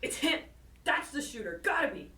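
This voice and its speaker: A gloating bully of a prison guard, voiced by a woman in an audio drama. The voice is high and swings widely, full of taunting, folksy swagger and excitable energy. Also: moderate pace, exaggerated voice. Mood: excited.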